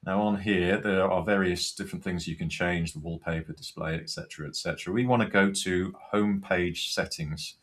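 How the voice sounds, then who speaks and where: A man's voice: low voice, steady tone, normal speed, hardly any pauses, fairly bright tone. A man giving an informal, unscripted product tutorial, explaining things step by step. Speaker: product demonstrator.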